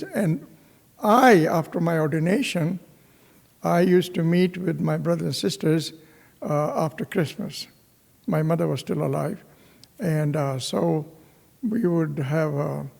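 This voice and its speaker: Slow gravelly priest from Pakistan. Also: male voice, sits high, darkish tone, in a large hall. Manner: slow, halting, with many fillers and restarts. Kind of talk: homily with personal storytelling. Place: parish church.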